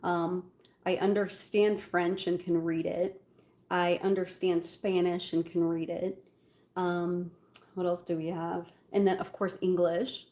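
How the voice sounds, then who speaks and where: A woman's voice: lowish voice, little pitch movement, a little slow, warm tone. A woman with an Algerian Arabic accent and Arabic as her first language, chatting casually while she bakes in her home kitchen, with lots of fillers and giggles. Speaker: cooking vlogger. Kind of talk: casual chat while baking.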